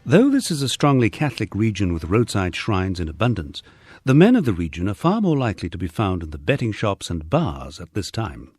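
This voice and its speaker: Low-voiced, husky man reading an audiobook. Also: huge pitch swings, fairly quick, a little mellow, dry recording. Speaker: audiobook reader. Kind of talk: scripted audiobook narration of a memoir.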